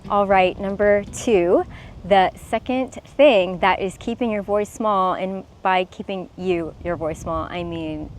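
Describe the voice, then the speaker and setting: A woman's voice: medium pitch, slow pace, slight background noise. A young woman speaking to camera as a self-expression coach, earnest and encouraging, sometimes restarting her words.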